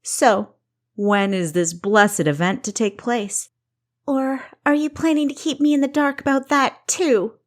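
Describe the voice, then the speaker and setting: Woman voicing a shrill sarcastic younger sister. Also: expressive, measured pace. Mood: bitter. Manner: snarling, sarcastic.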